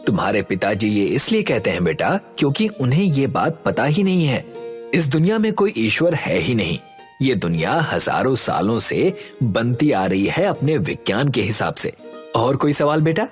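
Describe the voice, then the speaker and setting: An earnest young schoolteacher from a village tale, acted by a man. His mid-pitched voice moves animatedly as he explains things with care. Light music plays behind him in cartoon-story style. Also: sing-song, moderate pace, close to an everyday voice. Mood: patient, instructive. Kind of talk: Hindi animated story voice acting.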